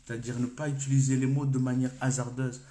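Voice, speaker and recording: A man's voice: mid-range voice, level tone, quick pace, mellow. A man whose first language is Comorian, giving an unscripted coaching talk to camera in French. He thinks aloud in an informal way, often stopping and restarting his phrases. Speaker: personal development coach. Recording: echoey room.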